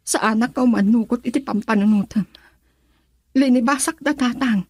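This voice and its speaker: A woman's voice: a little high, normal speed, a little mellow, breathy edge, no room sound, studio quality. A woman playing an anguished young woman in love, pleading emotionally with a Filipino accent in a radio drama. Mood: tender, worried. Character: anguished young woman torn between lover and family. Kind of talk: Ilocano radio drama acting.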